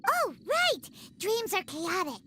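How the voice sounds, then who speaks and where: A woman voicing a small, excitable, easily confused fairy companion in a video game, with a squeaky childlike voice and exclaiming manner. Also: slow pace, a little hiss or hum, exaggerated voice. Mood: curious. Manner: refers to self in third person, exclaiming. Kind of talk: video game character acting.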